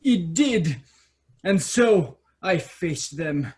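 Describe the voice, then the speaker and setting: An actor playing a vain, self-absorbed young prince in a children's fairy-tale stage reading. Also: male voice, fairly high pitch, expressive, drawn-out words, a little mellow. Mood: boastful.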